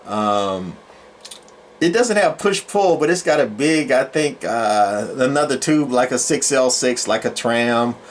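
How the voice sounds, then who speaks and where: A man's voice: medium pitch, a little hiss or hum. A man giving a casual, unscripted show-and-tell as a hobby collector, rambling and hesitant with plenty of enthusiast jargon. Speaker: collector presenting his radio collection.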